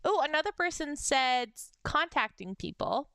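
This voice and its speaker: A young adult woman with a high-pitched voice, chatting casually on a livestream and reading fan messages, bubbly and giggly. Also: very animated, moderate pace, fairly bright tone, no echo, studio quality. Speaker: VTuber streamer.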